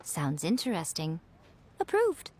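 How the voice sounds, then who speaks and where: A woman playing a poised, shrewd fox-woman publishing boss in a video game, measured, self-assured and lightly teasing. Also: on the low side, wildly swooping pitch, heavy background noise. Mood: brisk, approving. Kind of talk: scripted video game dialogue. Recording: music playing behind the voice.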